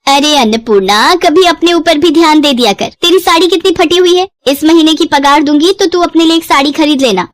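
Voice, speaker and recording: Female narrator as wealthy village madam, high voice, kindly, frank, brisk, advising, storybook cartoon. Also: no room sound, close to an everyday voice. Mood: concerned. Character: kindly, frank rich village employer's wife.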